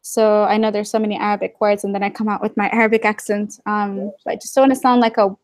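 A woman's voice: mid-range voice, little pitch movement, quick pace, dry recording. A young woman speaking as a guest in a casual video-call interview from her living room, candid and wry. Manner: candid, wry, many fillers and asides. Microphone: video call webcam.

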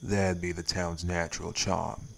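A man's voice: low voice, little pitch movement, measured pace, creaky. A man voicing a stern, weary wraith priest in an audio roleplay, with a laconic, folksy way of talking. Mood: stern, dry. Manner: laconic, folksy, drawled nonstandard grammar. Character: stern, weary male wraith priest guarding his town. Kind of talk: scripted audio roleplay acting.

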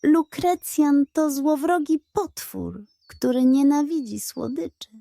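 A woman narrates a children's bedtime story with expressive, scripted delivery. Her tone is soft-edged and mellow, she takes her time, and the recording is close and dry. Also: high-pitched, slow pace, gravelly, dry recording.

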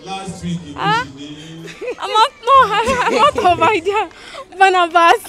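A woman's voice: very high voice, wildly swooping pitch, slow pace. A young Nigerian woman chorister, giggly and flustered as she stumbles over quiz answers outdoors. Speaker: church chorister. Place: outdoors at a church event. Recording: live outdoor event with music and crowd.

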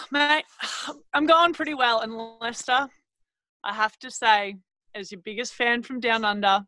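A woman's voice: on the high side, unhurried, bright, forceful tone, no room sound, poor audio. A woman playing a cheery, clueless Australian prepper with an Australian accent, speaking chummily on a video call from outdoors. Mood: chummy. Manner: chummy, rambling, calls everyone mate. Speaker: guest. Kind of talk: improvised comedy character.

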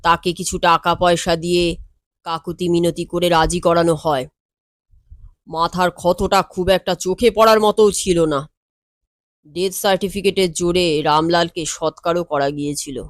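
A woman voicing a composed, world-weary male lawyer in an audio story, speaking in a measured, matter-of-fact and wry way. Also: on the low side, steady tone, no echo, lightly acted.

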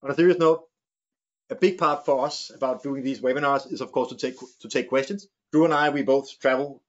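Danish-accented man, halting and careful. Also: medium pitch, steady tone, highs cut off. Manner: hesitant, restarts phrases. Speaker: camera company expert. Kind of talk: webinar discussion. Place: studio with large screen.

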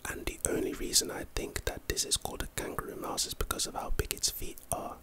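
A man with a London accent doing casual ASMR commentary, reading and reacting to trivia in a rambling, playful, slangy way. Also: brisk. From London, England.

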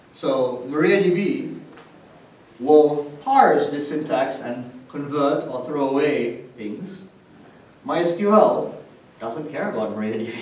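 A man's voice: medium pitch, darkish tone. Echoey, distant male conference speaker. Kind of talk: technical conference presentation. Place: indoor hotel conference room with projector screen. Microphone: distant camera microphone. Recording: echoey room, distant mic, faint noise.

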